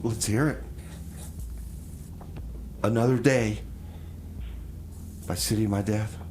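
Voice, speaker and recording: A man hosting a casual live radio interview, speaking haltingly and repeating words as he goes. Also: fairly low pitch, steady tone, a little mellow, a little hiss or hum. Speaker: radio show host. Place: radio studio.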